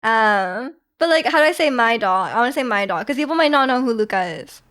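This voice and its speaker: A woman's voice: fairly high pitch, expressive, brisk, a bit bright. A young woman, chatting casually on a live stream, giggling as she answers her chat.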